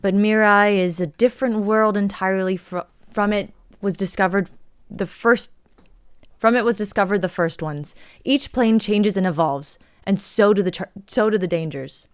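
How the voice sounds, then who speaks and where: A woman's voice: medium pitch, normal speed, clear, resonant tone, no room sound, close to an everyday voice. A woman reading the part of a tough, threatening female ship captain in a live dramatic reading, commanding and mocking.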